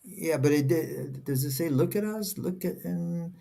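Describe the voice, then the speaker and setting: A man's voice: a little high, unhurried, gravelly, warm tone. A man whose first language is Portuguese, reading verses aloud and stopping often to question each phrase in a casual discussion. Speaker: co-host reading scripture.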